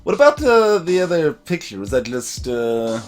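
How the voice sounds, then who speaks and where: A man's voice: on the high side, huge pitch swings, normal speed, soft background music. A man casually commentating on a game he is playing, thinking aloud in a hesitant way. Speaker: let's play host.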